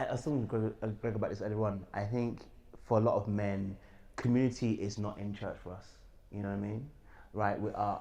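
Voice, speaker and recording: A man from Birmingham talking frankly and relaxed in a casual panel discussion. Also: fairly low pitch. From Birmingham, England.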